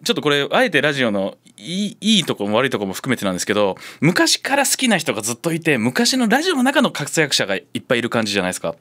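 A man hosting a casual radio chat, talking in a chatty, animated way. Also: medium pitch, rapid-fire, few pauses. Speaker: radio show host, company CEO.

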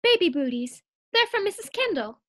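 A woman's voice: very high voice, big pitch swings, normal speed, pushed and bright, heavily acted. A woman acting a scheming, cheerful, scatterbrained housewife in a 1940s-style radio sitcom. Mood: chirpy.

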